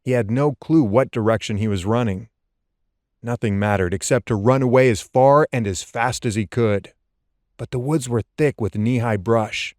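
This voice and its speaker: A man narrating suspense fiction as an audiobook, in a tense, deliberate and dramatic storytelling style. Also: mid-range voice, a little mellow, dry recording, studio quality.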